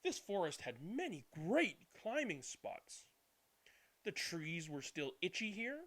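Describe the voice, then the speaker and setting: A man reading a children's picture book aloud as a storyteller, dramatically, with drawn-out words and hushed passages. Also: sits high, big pitch swings. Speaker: read-aloud storyteller and channel host. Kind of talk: expressive children's picture book read-aloud, then casual chat.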